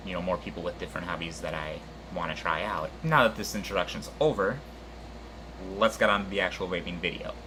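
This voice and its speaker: A man with a very deep voice talks loosely and unhurriedly, piling on fillers as he introduces his channel. A clear, steady hiss runs underneath the whole recording. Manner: rambling, filler-heavy, unhurried. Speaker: channel host. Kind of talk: casual vlog introduction.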